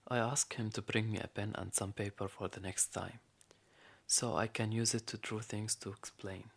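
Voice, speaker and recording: An actor playing a weary, imprisoned storyteller, narrating a radio play in a measured, grave way with a creaky voice. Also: male voice, fairly low pitch, fairly even intonation. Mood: dejected.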